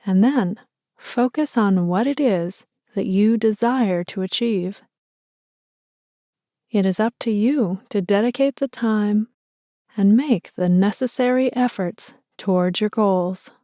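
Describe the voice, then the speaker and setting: Slow, soothing woman meditation guide. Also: mid-range voice, dark tone, dry recording, studio quality.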